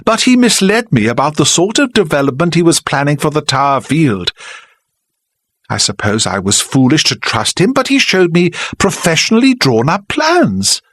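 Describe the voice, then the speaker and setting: Older southern english lady, earnest and apologetic, pitched way up, lively, as a male narrator puts her on. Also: moderate pace, no echo, clean studio sound. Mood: earnest, pleading. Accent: southern English middle-class. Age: 60s. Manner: earnest, pleading. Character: older village woman, flustered and apologetic.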